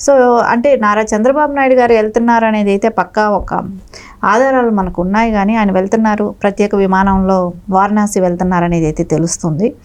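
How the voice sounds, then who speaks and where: A woman's voice: medium pitch, steady tone, fast, darkish tone. A woman giving emphatic political commentary as a party spokesperson in an interview.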